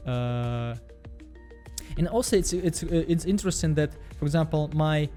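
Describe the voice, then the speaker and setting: Man from Belarus, self-help vlogger, higher voice, soft tone, faint music bed. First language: Russian. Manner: halting, repeats words, frequent fillers. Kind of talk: casual self-help vlog monologue.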